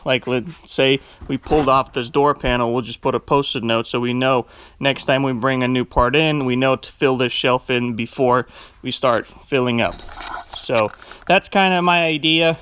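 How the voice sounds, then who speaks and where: A man's voice: mid-range voice, fairly even intonation, moderate pace, fairly bright tone, clean tone. A young man giving an informal walk-through, thinking aloud into a handheld action camera in a large warehouse. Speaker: vlogger, small business owner. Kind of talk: casual walk-through vlog narration. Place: large metal warehouse, indoors. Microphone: handheld action camera at arm's length.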